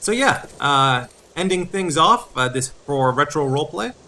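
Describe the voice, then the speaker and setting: Bright-voiced man doing gameplay commentary. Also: on the high side, animated, normal speed, a little hiss or hum. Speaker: gaming video host.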